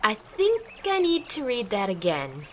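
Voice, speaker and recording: Casting: actress as studious, sensible young heroine, unhurried delivery, fan radio drama with slight noise. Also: female voice, on the high side, wildly swooping pitch. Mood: grumbling. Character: Zephyr, level-headed studious young female friend. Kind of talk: amateur radio drama acting.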